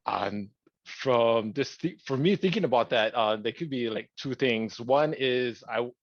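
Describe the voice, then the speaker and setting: A man's voice: mid-range voice, expressive, no echo, dull audio. Rambling man. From Hawaii. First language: Hawaiian. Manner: thoughtful, rambling, many pauses and restarts. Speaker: podcast co-host. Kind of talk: casual podcast chat.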